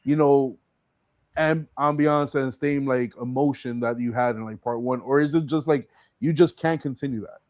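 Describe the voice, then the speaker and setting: A man hosting an informal interview, asking questions in a halting, conversational way with lots of filler words. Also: medium pitch, a little mellow, no room sound. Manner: halting questions padded with "like" and "you know". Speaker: podcast host. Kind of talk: casual interview chat.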